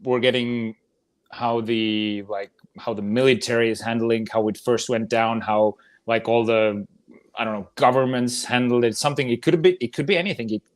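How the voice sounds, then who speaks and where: A man's voice: medium pitch, steady tone, normal speed. A man co-hosting a podcast, chatting casually about films. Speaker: podcast co-host. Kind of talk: casual film discussion.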